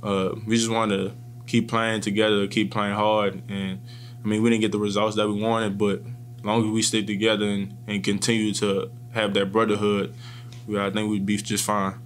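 A young man in his twenties, a college football player, answering post-game questions in a press room calmly and with frequent restarts, speaking into table microphones. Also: fairly low pitch, slight background noise. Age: 20s. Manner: calm and measured, with frequent restarts. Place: press room in front of a sponsor backdrop. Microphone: table microphones in front of him.

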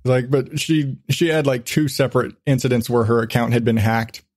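A man's voice: mid-range voice, normal speed, darkish tone, no echo, clean studio sound. Man, podcast host, mid-range voice, casual, profane, rambling, self-mocking.

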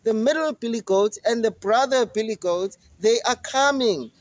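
A man's voice: extremely high pitch, moderate pace, dry recording, pitched above the performer's own voice. A man voicing a small, frightened young female goat who pleads and bargains with a monster. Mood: pleading.

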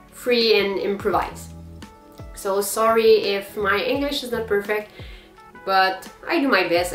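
A young French Canadian woman, French her first language, talks slowly and loosely to camera. Her voice rings a little in an echoey room, with soft music faintly underneath. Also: mid-range voice. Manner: unhurried and improvised, frequent pauses and fillers with short laughs. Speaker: cosplayer vlog host. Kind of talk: unscripted casual vlog. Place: small indoor guest room with manga shelves.

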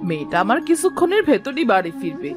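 Woman as scolding cartoon aunt, bossy, sharp, accusing, highish voice, very lively intonation. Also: fast talker, over music. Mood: scornful. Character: harsh, scolding village aunt, married woman with daughter. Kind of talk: animated moral story acting.

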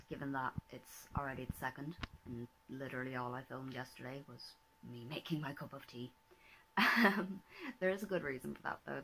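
A young woman in her twenties, hoarse and low-pitched, rambling cheerfully to her camera from a small bedroom. She talks in loose, self-deprecating asides full of ums, and a faint hiss sits under her voice. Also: fairly bright tone, rough recording. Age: 20s. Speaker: vlogger. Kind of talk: casual vlog chat. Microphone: laptop or phone camera at desk.

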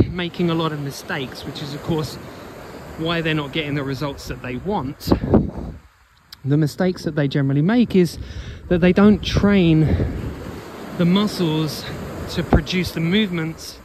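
A man with a fairly high voice talks as a coach in an unscripted walking vlog. He is instructive and emphatic, often repeating a phrase as he works through an explanation. Clear ambient noise from an open beach sits behind his voice. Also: unhurried. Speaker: running coach and blogger. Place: outdoors on an open sandy beach. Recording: noisy outdoor beach ambience.

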